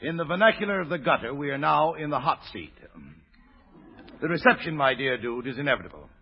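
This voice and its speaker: A man's voice: fairly high pitch, lively intonation, a little fast, slightly rough. A male actor playing a florid, pompous, sentimental old pool hustler in a vintage radio drama. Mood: pompous, insistent. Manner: pompous, flowery, theatrical. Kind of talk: 1930s-40s American radio drama acting. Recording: muffled, dull vintage radio recording.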